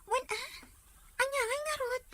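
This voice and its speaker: A woman acting a lively, sympathetic young female friend in a drama serial. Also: very high-pitched, a little slow, exaggerated voice.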